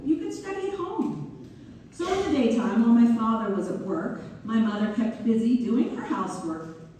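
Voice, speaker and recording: A woman's voice: a little high, dark tone. A woman playing a genteel author who fondly recalls her childhood in a dramatic stage read-through. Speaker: community theater actor. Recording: echoey room, distant mic, faint noise.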